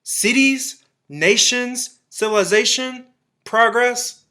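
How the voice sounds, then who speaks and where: A man's voice: very high voice, slow, pushed and bright, exaggerated voice. An actor playing an embittered, zealous militiaman who survived an alien invasion, in a radio drama, speaking in emphatic, folksy, clipped phrases. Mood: grim, intense.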